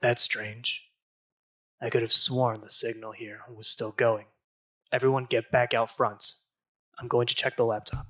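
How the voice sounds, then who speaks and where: A man playing a tense, serious young team leader in an amateur audio drama, with a rough voice and urgent, commanding manner. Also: medium pitch, level tone, measured pace, long pauses, sharp tone. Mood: puzzled. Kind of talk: hobby audio drama acting.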